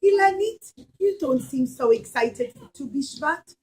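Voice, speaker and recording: A woman's voice: high voice, expressive, unhurried, a little mellow. A woman voicing a kindly seventy-year-old grandmother in a puppet show, teaching her grandchild with patient emphasis. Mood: patient, instructive.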